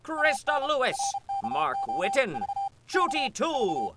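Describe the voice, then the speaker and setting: A performer playing a grand old-time radio serial announcer, reading credits with theatrical, deliberate enunciation. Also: sits high, wildly swooping pitch, heavy background noise, exaggerated voice. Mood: showy, upbeat. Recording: loud music under the voice.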